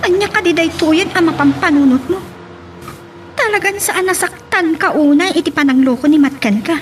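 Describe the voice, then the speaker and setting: Woman acting concerned young friend, high voice. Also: moderate pace, faint music behind. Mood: concerned, probing. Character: concerned young female friend, frank and coaxing. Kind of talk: Ilocano audio drama acting.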